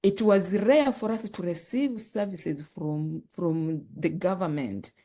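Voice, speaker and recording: Woman guest, low-ish warm tone, unhurried, earnest with frequent restarts. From Uganda. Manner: earnest, halting, repeats words and restarts often. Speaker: guest, author and nonprofit founder. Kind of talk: telling her life story in an interview.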